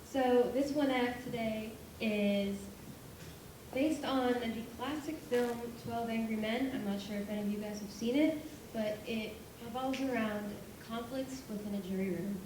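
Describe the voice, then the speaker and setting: A woman's voice: fairly high pitch, a little slow, pauses often. Woman presenter in very echoey hall. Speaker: stage presenter. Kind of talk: prepared show introduction. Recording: very echoey, distant, noisy hall.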